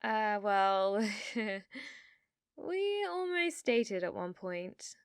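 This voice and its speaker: A young woman with a British accent acting a sweetly manipulative, teasing girlfriend in an intimate, soft-spoken ASMR roleplay. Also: medium pitch, sing-song, extremely slow, smooth, dry recording, studio quality, exaggerated voice. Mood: teasing, provoking. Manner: coy, soft-spoken, teasing with feigned innocence.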